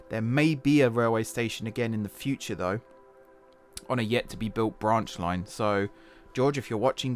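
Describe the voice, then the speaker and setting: Casual male game narrator, mid-range voice, a touch hoarse, self-correcting ramble, soft music bed. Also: mid-range voice. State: slightly hoarse. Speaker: gaming channel host. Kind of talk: casual gameplay voice-over. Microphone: desktop voice-over mic.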